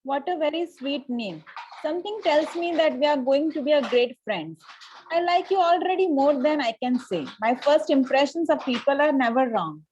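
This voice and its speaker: Haughty young society lady, played by a woman, high-pitched, formal and sure of herself, Victorian comedy table read. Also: lively intonation, normal speed, a little mellow, lo-fi sound, a little hiss or hum. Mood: gushing, self-assured. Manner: formal, pronouncing, self-assured. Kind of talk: amateur play table read.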